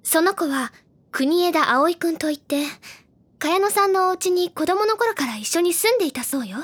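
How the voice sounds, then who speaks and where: A woman voicing a bubbly, earnest teenage schoolgirl who tells stories in an expressive, chatty audio drama style. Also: extremely high pitch, moderate pace, a little hiss or hum. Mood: earnest storytelling. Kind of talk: Japanese audio drama acting. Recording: background music under the voice.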